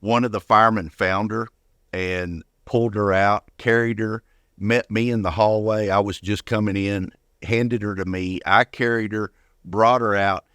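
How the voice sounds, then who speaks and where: A man's voice: fairly low pitch, fairly even intonation, normal speed, fairly bright tone, smooth, no echo, studio quality. An older man speaking as an interview guest, telling personal stories casually and often repeating words as he goes. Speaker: guest, retired firefighter.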